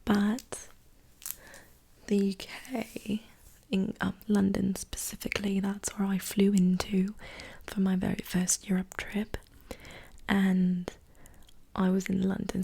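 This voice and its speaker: A young woman in a soft-spoken, whispery ASMR chat, breathy and casual, rambling along as host. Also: mid-range voice, monotone, a little slow.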